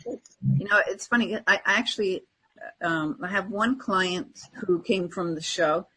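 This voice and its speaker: A woman co-hosting a podcast in casual conversation, speaking in a tentative, reflective and halting way. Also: on the low side, sharp tone, husky, low-quality recording. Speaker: podcast co-host. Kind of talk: casual spiritual chat.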